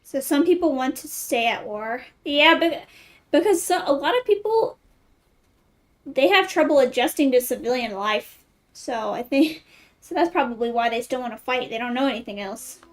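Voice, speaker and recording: A woman's voice: sits high, animated, normal speed. A woman giving casual, playful, slangy commentary on a video game as she plays. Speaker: gaming streamer.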